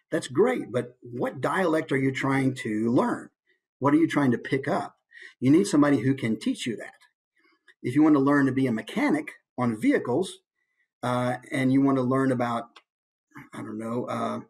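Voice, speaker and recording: A man's voice: medium pitch, darkish tone, husky. A man giving an informal instructional talk as a voice actor and coach. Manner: demonstrates points with brief put-on voices.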